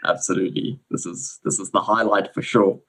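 A man with a South African accent, a guest researcher giving a relaxed, explanatory account of his background on a podcast. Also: on the low side, a little fast, gravelly, a little hiss or hum. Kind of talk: casual podcast interview.

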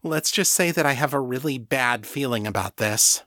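A man's voice: medium pitch, normal speed, bright, forceful tone, dry recording, clean studio sound, natural voice. A man voicing an anxious woman in an audiobook story, earnest, urgent and hesitant as a pleading friend.

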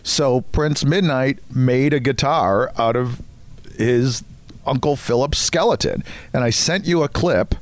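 A man's voice: mid-range voice, a little slow, no echo. A middle-aged or older man co-hosting a podcast with casual, wisecracking banter and conversational storytelling. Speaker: podcast co-host.

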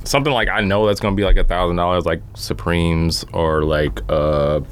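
A man in a casual, slangy conversation, speaking informally in halting phrases that often repeat. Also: low voice, fairly even intonation, clean tone. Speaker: podcast guest. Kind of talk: casual chat.

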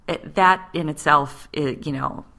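A woman's voice: sits low, a little slow, vocal fry, pushed and bright. A woman in her late thirties co-hosting a podcast, chatting casually with frequent fillers. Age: 30s. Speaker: podcast co-host. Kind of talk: casual conversation.

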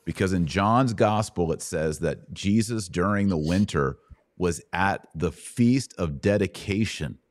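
A man's voice: low voice, a little slow, no room sound. A middle-aged American man hosting a conversational religious question session, explaining step by step and checking understanding with short questions. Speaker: host answering Bible questions.

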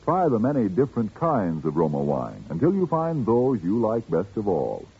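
A man's voice: medium pitch, husky. An American man reading a 1940s radio commercial as the sponsor's announcer, emphatic and salesmanlike. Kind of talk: 1940s radio advertising read. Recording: dull 1940s radio broadcast recording.

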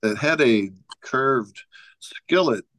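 A man's voice: mid-range voice, lively intonation, slow, a bit bright, no echo, rough recording. A man co-hosting a casual talk show, telling an anecdote in a rambling way with lots of "you know" and frequent chuckles. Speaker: podcast co-host.

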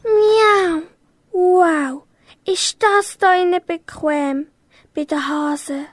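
A woman's voice: very high-pitched, no echo, studio quality, heavily acted. A young teenage girl playing a small, naive, anxious cat in an amateur radio play, meowing between phrases. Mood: curious, content. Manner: slow, punctuates speech with meows. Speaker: school pupil in a radio workshop.

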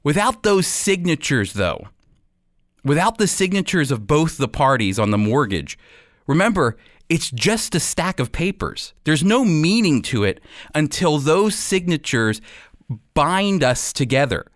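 A man giving a radio Bible teaching, explaining and reading scripture with emphatic, deliberate phrasing. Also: a little high, very animated, dry recording, studio quality.

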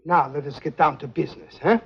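Husky, high-pitched, jovial greedy schemer. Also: male voice, a little fast, exaggerated voice. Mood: businesslike, greedy. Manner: jovial, chuckling, slippery, formal phrasing. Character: jovial, greedy European male go-between selling secrets. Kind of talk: 1950s television spy drama acting. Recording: dull 1950s TV film soundtrack.

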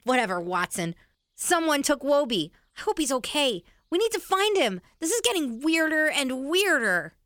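A woman's voice: high-pitched, very animated, moderate pace, a bit bright, dry recording, clean studio sound. A woman playing a practical, sceptical young boy in a family audio drama. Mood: matter-of-fact, then worried, urgent.

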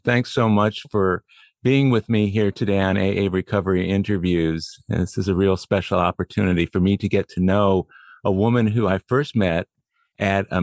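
A man hosting an interview podcast, speaking in a measured, articulate way. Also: low voice, a little mellow, dry recording, clean studio sound. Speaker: podcast host. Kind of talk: scripted introduction, then interview.

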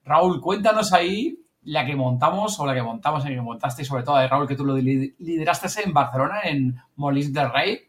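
High-pitched male podcast host from Spain. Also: moderate pace, a bit bright. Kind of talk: casual chat, prompting guests with questions.

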